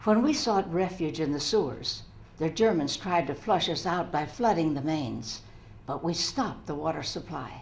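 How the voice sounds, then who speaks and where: A woman's voice: sits low, expressive, normal speed, vocal fry, a little hiss or hum. An older woman in a dramatic reading, playing a somber survivor of an uprising.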